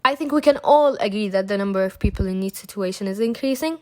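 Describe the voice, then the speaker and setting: A woman's voice: mid-range voice, fast, mellow, no room sound, clean studio sound. A young woman from Kuwait, a student presenting at a seminar, reading prepared remarks with small restarts.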